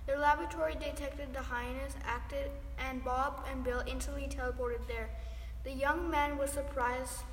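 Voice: high voice, a bit bright. A young teenager reading a scripted story aloud as a student narrator. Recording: echoey hall, distant, noisy background.

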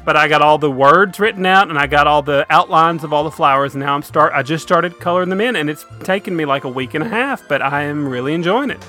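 A man's voice: on the high side, fast talker, few pauses, bright, forceful tone, faint music behind. A man co-hosting comedic banter, chatty and self-deprecating as he rambles through stories. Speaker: co-host.

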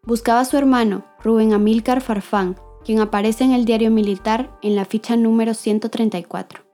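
Woman narrator, warm mellow tone, solemn scripted documentary read. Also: on the high side, steady tone, fairly quick, faint music behind.

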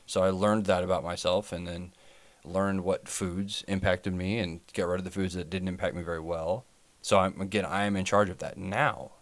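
A man's voice: deep voice, flat delivery, moderate pace. A man co-hosting a podcast, a health professional explaining things in a plain, matter-of-fact way. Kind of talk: casual chat.

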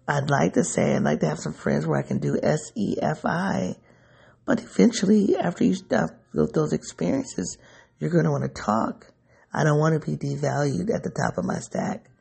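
A man with a raspy, tired voice talking through a personal reflection to his viewers, musing and rambling as he searches for answers. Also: a little high, normal speed, a little mellow. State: sleepy, voice going. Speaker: vlogger.

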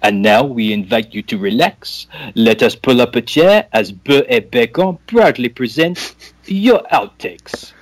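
Man playing a pompous gentleman master of ceremonies. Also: medium pitch, lively intonation, measured pace, dry recording. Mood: grand, ceremonious. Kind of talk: mock-formal theatrical introduction.

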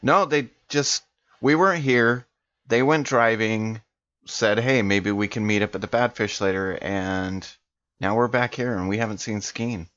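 A man's voice: on the low side, measured pace, fairly bright tone, no room sound. A man talking casually and improvising in character as a tabletop game player, on a dull-sounding microphone.

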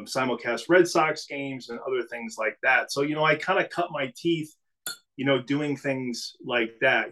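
A man's voice: medium pitch, low-quality recording. Man, middle-aged, from New England, podcast guest, casual storytelling, restarts often. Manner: relaxed storytelling with frequent restarts. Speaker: podcast guest, business CEO. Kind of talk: casual interview conversation.

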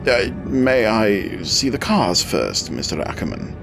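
An intimidated male buyer in an audiobook thriller, as the narrator puts him on, hedging and stammering under pressure. His voice is deep and gravelly. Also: very animated, measured pace, over music, full character voice. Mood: nervous. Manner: hesitant, stammering.

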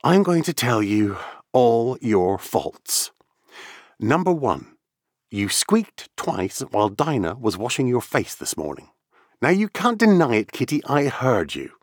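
A man's voice: medium pitch, very animated, measured pace, no echo, studio quality, pitched above the performer's own voice. A man voicing a bossy, chatty little girl in a storybook, scolding in a mock-stern way.

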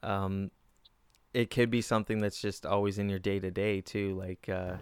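A man's voice: fairly low pitch, little pitch movement, moderate pace, smooth. A man, a comedian, talking casually in a reflective, earnest way. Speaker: comedian guest. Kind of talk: casual chat.

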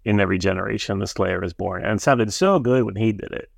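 A man's voice: fairly low pitch, fast, creaky, no room sound, studio quality. A man co-hosting a casual podcast chat, easygoing, recapping the plot with ums and uhs. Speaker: podcast co-host.

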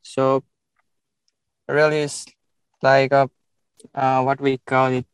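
A young man from Nepal, speaking in a casual interview, often pausing and searching for words with many fillers. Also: mid-range voice, monotone, clear, resonant tone, no echo.

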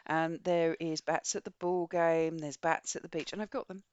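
A woman's voice: sits low, fairly even intonation, moderate pace, a little mellow, clean tone, highs cut off. A woman co-hosting a podcast, talking casually and enthusiastically about books in a chatty, rambling way. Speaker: podcast co-host. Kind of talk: casual book chat.

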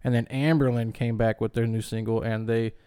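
A man's voice: mid-range voice, level tone, a little fast, mellow, clear, resonant tone. A young man co-hosting a music podcast in casual chat, easygoing and teasing. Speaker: podcast co-host.

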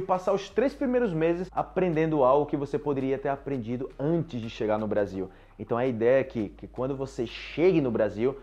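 A man's voice: a little high, a little mellow, lo-fi sound. Chatty Brazilian man teaching Portuguese. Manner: chatty, repeats himself, addresses viewers directly. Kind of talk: casual teaching monologue.

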